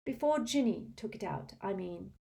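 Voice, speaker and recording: A woman's voice: mid-range voice, expressive, moderate pace, creaky, mellow, pitched above the performer's own voice. A woman voicing a prim, earnest teenage witch, polite but insistent, in an audiobook. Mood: polite, probing. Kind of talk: audiobook character acting.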